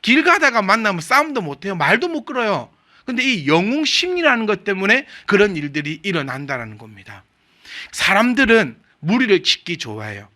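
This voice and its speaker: A man with a Gyeongsang accent preaches in a conversational, joking way. His voice sits high and bright, and his pitch swings widely as he asks his listeners questions. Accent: Gyeongsang Korean. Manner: chatty and humorous, asks the congregation questions. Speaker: pastor. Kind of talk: conversational sermon.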